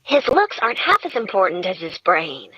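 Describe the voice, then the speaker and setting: A woman's voice: lowish voice, sing-song, normal speed, pushed and bright, husky, no echo, highs cut off, full character voice. A woman voicing a brash, sardonic young woman with a cheerfully acerbic way of griping.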